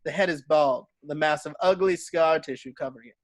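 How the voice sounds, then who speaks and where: Male narrator for a script read-through, slightly high voice, reading scene directions. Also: fairly quick.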